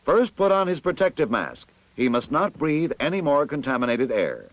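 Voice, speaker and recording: An American man reads scripted narration for a vintage army training film in an authoritative, instructive manner with clear enunciation. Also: on the high side, very animated, normal speed.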